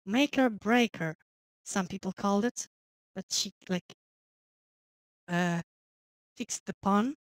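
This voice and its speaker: A woman's voice: mid-range voice, slow, pauses often, dry recording, studio quality. A woman giving rambling, casual commentary over a wrestling video game, with many fillers. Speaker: gameplay commentator.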